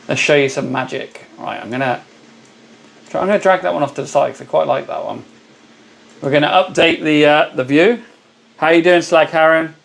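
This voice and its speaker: Hoarse man hosting a live stream. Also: a little high, a bit bright, a little hiss or hum. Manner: chatty, greets viewers by name. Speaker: livestream host. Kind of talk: casual live-stream chat.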